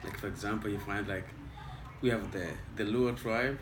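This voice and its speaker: Kenyan man with a low voice, explaining patiently. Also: steady tone, normal speed. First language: Luo. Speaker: interviewee. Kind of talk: casual interview answers. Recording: echoey room, mic at a distance, noisy.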